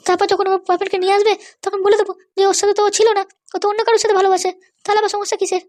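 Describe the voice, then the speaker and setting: A woman's voice: extremely high pitch, a little mellow. A woman voicing a scheming, fretful young woman who chatters to herself in an amateur comedy cartoon. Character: scheming young woman plotting around her secret boyfriend.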